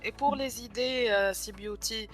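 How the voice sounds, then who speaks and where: A woman from Algeria, a guest on a casual livestream chat, speaking softly and hesitantly. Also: a little high, unhurried, faint music behind.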